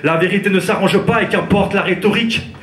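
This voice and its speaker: A man's voice: high voice, fairly quick, a bit bright. A man from France performing slam poetry on a theatre stage, with a rhythmic, intense spoken-word delivery. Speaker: slam poet. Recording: roomy theatre echo, live.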